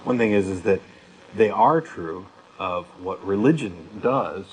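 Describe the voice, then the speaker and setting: A man with a fairly low voice speaks as a co-host. He comments conversationally, as in a studio discussion. Faint noise sits behind his voice. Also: animated, unhurried, low-quality recording. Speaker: talk show co-host. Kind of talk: conversational commentary on an atheist talk show.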